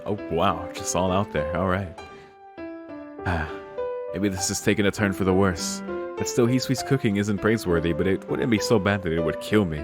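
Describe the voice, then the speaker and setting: A man's voice: sits low, normal speed. A man reading a visual novel aloud in a casual, slangy, amused way, swearing and reacting off the cuff. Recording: music behind the voice.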